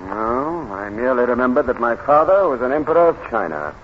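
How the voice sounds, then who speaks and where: An actor playing a brooding, bitter self-made gentleman in 1930s radio drama, with a sardonic, commanding manner. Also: mid-range voice, big pitch swings, brisk, close to an everyday voice. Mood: cool, sardonic. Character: brooding, bitter gentleman risen from stable boy. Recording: noisy, muffled 1939 radio recording.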